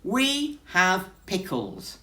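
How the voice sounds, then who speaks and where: A woman's voice: medium pitch, huge pitch swings, extremely slow, pushed and bright, pitched above the performer's own voice, full character voice. A woman voicing a grumpy, stern male bear in a children's storybook, declaring and scolding as it speaks. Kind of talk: children's storybook read-aloud.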